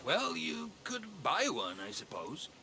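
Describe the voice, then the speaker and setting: A man's voice: a little high, huge pitch swings, unhurried, creaky, bright, forceful tone, a little hiss or hum, heavily acted. An actor playing an eager, earnest but anxious young male athlete in a video game. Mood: anxious, hopeful. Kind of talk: video game dialogue acting.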